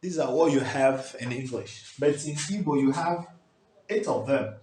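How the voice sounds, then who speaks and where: A man's voice: mid-range voice, moderate pace, a little mellow. A man, teaching a language lesson at a whiteboard, emphatic and checking in with "okay?". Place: small indoor room before a whiteboard. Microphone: camera at close range. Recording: echoey room, faint background hiss.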